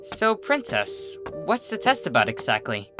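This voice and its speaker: A performer voicing a bookish young female pony, anxious and easily exasperated, in an expressive cartoon-style story reading. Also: medium pitch, measured pace, music in the background, pitched above the performer's own voice. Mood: eager, puzzled. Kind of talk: animated character acting in a fan fiction reading.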